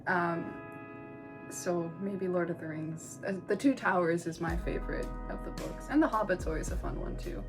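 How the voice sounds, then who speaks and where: A woman's voice: lowish voice, normal speed, music in the background, low-quality recording. A young woman, a co-host and art history student, chatting casually with fillers and giggles. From United States. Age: young adult. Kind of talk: casual chat. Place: indoors, a room with no light on.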